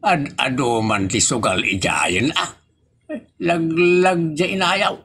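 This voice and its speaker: A man playing a gravelly, grumbling father. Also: on the high side, slow pace, fairly bright tone, a little hiss or hum. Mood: scolding. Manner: scolding, teasing. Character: grumbling middle-aged husband and father. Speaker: voice actor in an audio drama. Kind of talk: comedic audio drama acting.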